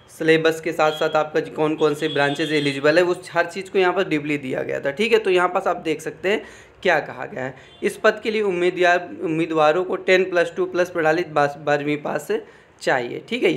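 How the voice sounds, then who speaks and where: A man giving an informal explainer, reading out official text and commenting, often repeating phrases and adding check-in tags. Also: on the high side. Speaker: exam information presenter.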